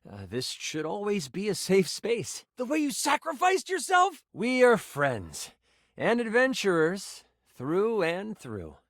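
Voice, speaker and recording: Animated, nerdy, flustered game master. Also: male voice, high voice, takes it slowly, no echo, studio quality, heavily acted. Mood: flustered, earnest. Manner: stammering, eager, gushing, self-conscious. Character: nerdy, earnest, easily flustered tabletop dice game master. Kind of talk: expressive video game voice acting.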